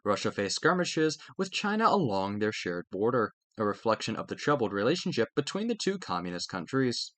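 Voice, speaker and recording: Man reading aloud with lively, swinging pitch. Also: a little fast, hardly any pauses, dry recording. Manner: stumbles over words and self-corrects while reading. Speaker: reader of a study textbook aloud. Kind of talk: scripted read-aloud of a history textbook.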